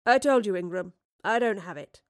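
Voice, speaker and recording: A woman's voice: medium pitch, normal speed, dry recording, natural voice. Lady doing an indignant merchant guy protesting, stubborn, dry close sound, audiobook. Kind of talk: audiobook character acting.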